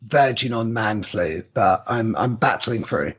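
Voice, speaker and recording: A man with an English accent and a cold sounds husky and croaky. He chats in a wry, self-mocking way, stumbling over his starts, and the recording comes across muffled and lo-fi. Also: lowish voice, expressive, measured pace. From England. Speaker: podcast co-host. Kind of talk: casual chat. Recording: dull lo-fi sound.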